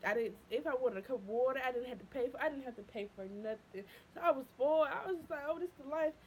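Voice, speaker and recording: A young woman tells her story straight to the camera in her somewhat high voice, chatty and expressive. She leaps up when quoting her excited past self and sinks low and soft when upset. A faint hum sits behind her. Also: fast, low-quality recording. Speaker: vlogger. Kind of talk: casual storytelling.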